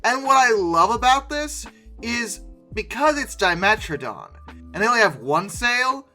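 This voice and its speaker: An incredulous, sarcastic host giving casual reaction commentary, with emphatic delivery and dramatic pauses. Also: medium pitch, big pitch swings, sharp tone. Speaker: host of a paleoart reaction video. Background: music.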